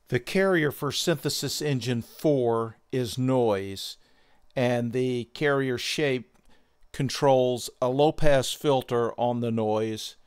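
Older man, methodical tutorial presenter, unhurried delivery, dry close sound. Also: mid-range voice, clean tone, no room sound. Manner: measured, methodical explanation. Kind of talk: instructional product demonstration.

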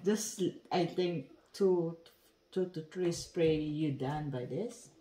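A woman's voice: sits low, slow pace, warm tone. A woman whose first language is Tagalog, chatting casually in broken English while unboxing perfume at home. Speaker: vlogger. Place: dining room at home. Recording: echoey room.

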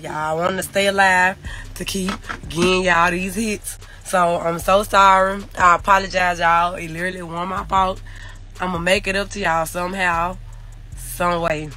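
A young woman with a Memphis Southern accent, talking casually to fans on a phone livestream from inside a private jet. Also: fairly low pitch. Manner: Southern slang, fast and animated. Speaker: rapper on a livestream. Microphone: phone held at arm's length.

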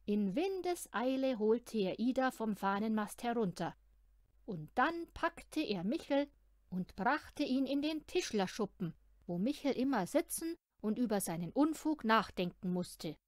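A woman's voice: on the high side, big pitch swings, unhurried, a little mellow, no echo, studio quality. A woman narrating a children's story from a script, expressively and as if speaking to a young listener. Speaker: audiobook reader.

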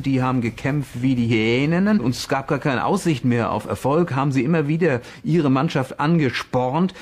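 A man's voice: mid-range voice, a little mellow. A man speaking off the cuff as an expert interviewee, explaining things. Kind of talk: unscripted explanatory interview talk.

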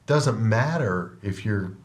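A man's voice: lowish voice, expressive, takes it slowly. A middle-aged man hosting a trucking podcast in a studio, speaking plainly from a trucker's point of view. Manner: plainspoken, trucker's perspective, frequent rhetorical "right?". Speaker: podcast host and trucking company owner. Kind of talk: casual interview chat. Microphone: over-ear headphones with desk mic.

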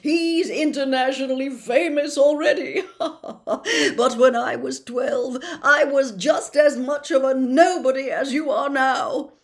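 A woman's voice: high-pitched, takes it slowly, pitched above the performer's own voice. A woman voicing a vain, smug male celebrity wizard professor in a storybook reading, gushing and condescending. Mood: smug, boastful.

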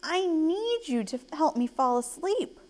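A woman's voice: on the high side, big pitch swings, a little slow. A woman voicing an exasperated, sleepless little girl in a children's storybook, earnest and pleading.

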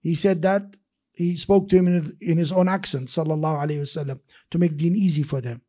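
Fairly high-pitched middle-aged guy teaching a class, warm dark tone, desk mic in a mosque room. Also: a little fast. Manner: animated, gestures with his hands while explaining; repeats and restarts words, mixes in Arabic phrases. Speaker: hadith teacher. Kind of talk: classroom lecture. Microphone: desk microphone on a stand.

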